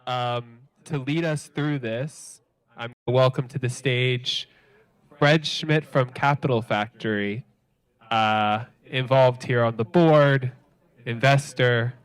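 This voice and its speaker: A man's voice: mid-range voice, steady tone, slow, clear, resonant tone, no echo, low-quality recording. A man acting as emcee, introducing the next speaker on stage into a microphone. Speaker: event emcee.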